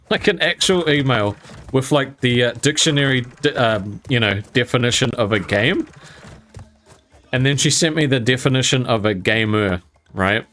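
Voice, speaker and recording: A man's voice: mid-range voice, moderate pace, fairly bright tone, a little hiss or hum. A young man casually telling a story while gaming, rambling and laughing often, with some mild swearing. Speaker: gaming channel host.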